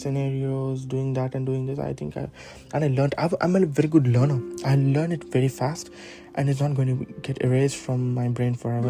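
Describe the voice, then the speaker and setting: A young man with an Indian accent hosting a casual solo podcast, rambling with many "like" and "you know" fillers. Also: mid-range voice. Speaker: podcast host. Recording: faint guitar music behind.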